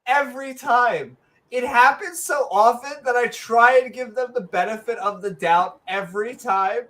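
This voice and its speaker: A woman co-hosting a comedic podcast, sarcastic and animated, full of asides. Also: fairly high pitch, normal speed, low-quality recording. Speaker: podcast co-host. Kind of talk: casual comedic chat.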